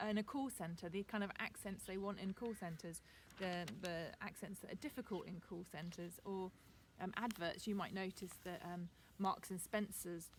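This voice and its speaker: A woman teacher with a received pronunciation accent gives a chatty, relaxed video lesson outdoors in her garden, with frequent ums. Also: mid-range voice, a little hiss or hum.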